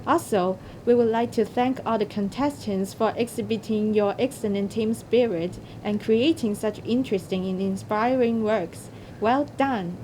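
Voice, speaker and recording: A woman reading a scripted, formal announcement as presenter of competition results. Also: moderate pace. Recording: noisy recording with low rumble.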